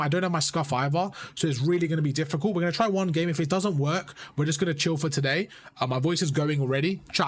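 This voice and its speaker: A young man coaching players and chatting with his live-stream audience in a casual, repetitive way, his voice strained from talking. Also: fairly high pitch. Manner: chatty, repeats phrases, addresses chat as bro.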